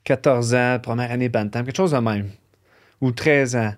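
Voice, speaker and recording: A man with a Québécois accent, a hockey player being interviewed, telling stories in a relaxed, rambling way. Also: mid-range voice, normal speed. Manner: relaxed, rambling, lots of "tu sais". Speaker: professional hockey player, guest. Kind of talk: casual interview storytelling.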